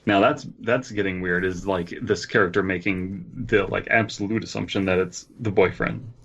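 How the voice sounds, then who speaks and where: A man's voice: low-pitched, fairly even intonation, moderate pace, a bit bright, lo-fi sound. A man co-hosting a podcast, chatting casually and reading stories aloud, with crude, profane humor. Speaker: podcast co-host.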